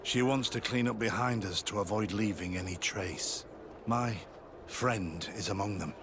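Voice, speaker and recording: A man's voice: lowish voice, unhurried, noisy background. A man playing a guilt-ridden, secretive army sergeant turned informant in a video game, guarded, earnest and confiding. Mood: grave. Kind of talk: video game cutscene acting.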